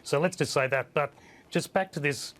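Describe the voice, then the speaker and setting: A man with a husky voice, a guest on a TV panel, plain-spoken and hesitant with many fillers. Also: a little high, normal speed, a bit bright. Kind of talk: live TV panel discussion. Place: remote studio link.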